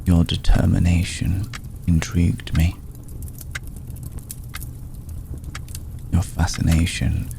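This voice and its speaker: A very deep-voiced, ancient vampire lord played by a man speaks tenderly in an intimate ASMR roleplay. His delivery is very slow and solemn, with a heavy gravelly creak and long, deliberate pauses. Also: dark tone, a little hiss or hum, full character voice. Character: courteous, brooding centuries-old male vampire lord, tender toward a mortal.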